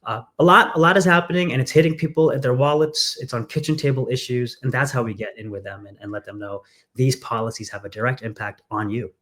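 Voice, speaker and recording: A man's voice: mid-range voice, fast talker, fairly bright tone. Earnest man, candidate in an interview. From England. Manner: earnest, storytelling, frequent fillers. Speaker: congressional candidate. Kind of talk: conversational interview.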